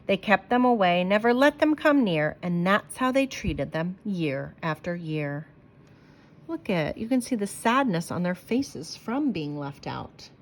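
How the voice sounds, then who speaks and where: A woman's voice: lowish voice, expressive, measured pace. A woman reading a rhyming picture book aloud to children with emphatic, sing-song expression and short asides.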